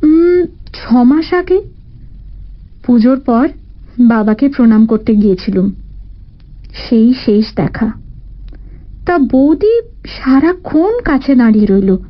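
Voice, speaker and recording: A woman's voice: a little high, very animated, slow pace, long pauses, dull audio, heavily acted. A woman playing a plaintive, aggrieved married woman of about forty in an audio story. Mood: sorrowful. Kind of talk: dramatised detective audio story.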